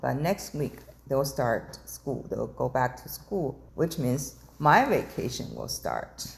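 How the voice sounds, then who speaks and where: A woman's voice: rumbling low, big pitch swings, slow pace, vocal fry. A woman interviewer chatting casually with her guest, asking questions and sharing remarks. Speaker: interviewer, channel host.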